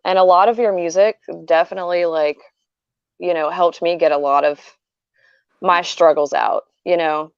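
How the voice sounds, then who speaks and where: A woman's voice: fairly low pitch, steady tone, normal speed, clean tone, no echo. A woman in her thirties, a fan putting questions to the guest, talking with enthusiasm and admiration and a casual "like" and "you know.". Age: 30s. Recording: dull, dry lo-fi sound.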